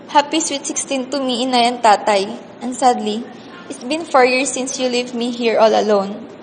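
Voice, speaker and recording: A woman acts as a bullied, grieving teenage schoolgirl with a high voice and a Filipino accent. She speaks with earnest melodrama, as in an amateur radio play. The sound is reverberant with some hiss. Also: natural voice. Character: orphaned teenage scholarship girl, grieving, bullied, defiant. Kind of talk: amateur radio drama, first-person emotional narration. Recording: reverberant hall, audible background hiss.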